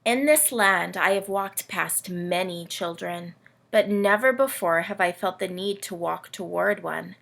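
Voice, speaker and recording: Woman voicing a slow, creaky, self-pitying storybook camel. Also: fairly low pitch. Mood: awed, tender. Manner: theatrical, drawn-out, expressive storybook delivery. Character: self-pitying, melodramatic, vain male camel of the Nativity.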